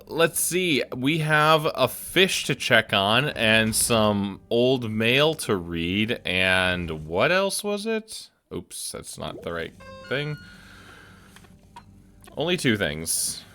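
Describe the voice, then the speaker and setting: A man's voice: on the low side, bright, forceful tone. A man hosting a casual gameplay video, musing aloud and chatting about what he sees. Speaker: gaming video host. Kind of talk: casual let's play commentary.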